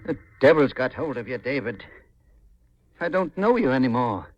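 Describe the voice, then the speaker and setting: A man's voice: mid-range voice, expressive, normal speed, lightly acted. An actor playing a stern, God-fearing older man, grave and admonishing, in the style of 1950s radio mystery. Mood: stern, accusing. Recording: muffled old radio recording.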